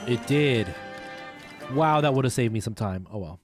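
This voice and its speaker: A man's voice: mid-range voice, lively intonation, moderate pace. A man, chatting casually as he streams a retro video game. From Canada. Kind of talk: casual chat while playing a retro game on a livestream. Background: faint game music.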